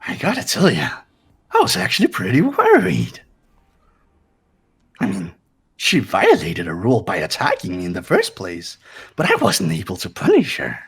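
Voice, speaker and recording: A man's voice: fairly low pitch, huge pitch swings, normal speed, gravelly, pauses often, a bit bright, breathy edge, exaggerated voice. A man voicing a gleefully taunting villainous toy bear mascot in a fan-voiced game, mocking and theatrical. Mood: mocking glee. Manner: mocking, theatrical, sing-song.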